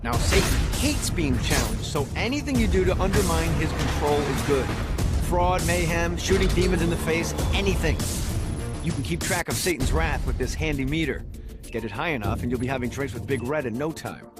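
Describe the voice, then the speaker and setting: An actor playing a cocky, foul-mouthed, sardonic guide and ally in hell, briefing the player in a video game. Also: male voice, on the high side, animated, moderate pace, over loud music, close to an everyday voice. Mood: sardonic, instructive. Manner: irreverent, casual, sardonic, swearing.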